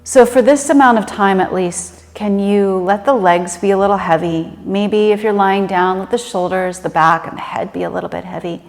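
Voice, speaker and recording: A woman leading a guided meditation in a gentle, unhurried and conversational manner. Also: medium pitch, steady tone. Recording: reverberant room with long echo.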